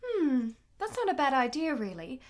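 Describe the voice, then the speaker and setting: A woman's voice: sits high, sing-song, moderate pace. A woman playing a flirtatious, affectionate lady in a period radio play, with a teasing, genteel, theatrical manner.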